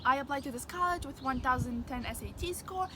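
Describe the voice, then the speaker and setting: A high-pitched young woman in her late teens, Arabic her first language, gives earnest advice with a few restarts. She talks outdoors, and loud wind and passing cars rush under her voice. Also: brisk. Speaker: vlogger. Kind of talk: casual advice vlog. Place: outdoors on a windy day. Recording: wind and traffic noise outdoors. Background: wind and passing vehicles.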